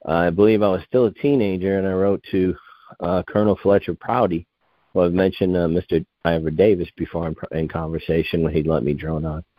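Interview guest, male, 50s; deep voice, muffled dry recording; digressive, restarts his sentences often. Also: fairly even intonation, brisk. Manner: meandering, self-correcting, digressive. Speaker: guest researcher. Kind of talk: rambling conversational interview.

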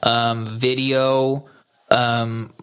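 A man's voice: mid-range voice, very slow, smooth. A man, a musician guest, talking casually and loosely about making his band's song and video. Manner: relaxed, rambling with fillers. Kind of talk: casual interview chat.

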